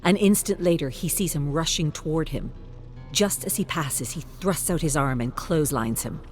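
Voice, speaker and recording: Narrator for dark suspense fiction: medium pitch, measured dramatic read, light music bed. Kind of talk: dramatic scripted audiobook-style narration.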